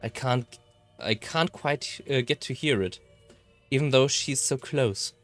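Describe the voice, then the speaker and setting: A man reading a visual novel aloud in a halting, slightly theatrical way. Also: medium pitch, faint music behind. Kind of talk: expressive read-aloud narration of a visual novel.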